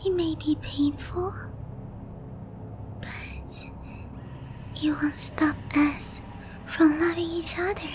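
A woman voicing an obsessive, lovesick young vampire princess in breathy, intimate roleplay ASMR. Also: extremely high pitch, fairly even intonation, background noise. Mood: possessive, wistful. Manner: breathy, pleading, slow and intimate.

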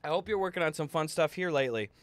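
Young male game streamer, lively swooping delivery, slangy and profane, a bit worn-down, casual chat with viewers. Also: a little high, racing. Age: young adult. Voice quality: tired, slightly worn-down voice. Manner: slangy, jokey, profane, often says "dude" and "bro". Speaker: livestream host. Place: indoor room at a streaming setup.

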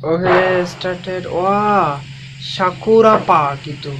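Effusive Bangladeshi man, noisy recording. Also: high-pitched. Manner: effusive, repetitive, halting phrases. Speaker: reaction vlogger. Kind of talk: excited reaction commentary.

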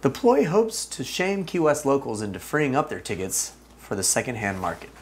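A man's voice: mid-range voice, lively intonation, normal speed. Mock-serious male news co-anchor. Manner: earnest mock-serious reporter tone. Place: small studio set.

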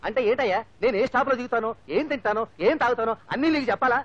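A man's voice: extremely high pitch, racing, no echo. An actor playing an indignant, snappish male bus passenger in a film comedy. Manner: scolding, snappish. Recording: dull old film soundtrack.

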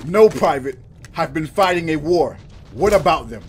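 Exasperated high-voiced army colonel played by a man. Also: animated, normal speed, slight background noise, lightly acted. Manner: commanding, urgent, exasperated. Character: exasperated, dutiful army colonel under fire. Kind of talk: radio drama acting.

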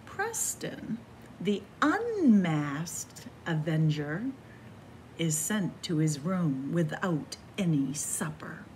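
Slow, very animated woman storyteller. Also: low-pitched, slight background noise, rough recording. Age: middle-aged. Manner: dramatic, with hushed whispers and drawn-out words. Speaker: library story time host. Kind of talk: expressive picture-book read-aloud for children. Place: small library room with bookshelves, indoors.

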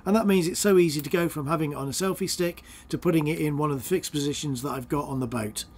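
A man's voice: fairly high pitch, fast talker, slight background noise. A middle-aged man hosting his sailing vlog, chatting to the camera and calling tacking commands in a boat cockpit. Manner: chatty, gives calm helm commands. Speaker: boat owner and channel host. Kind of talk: casual vlog narration and sailing instruction. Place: open sailboat cockpit on the water. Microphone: action camera on the boat.

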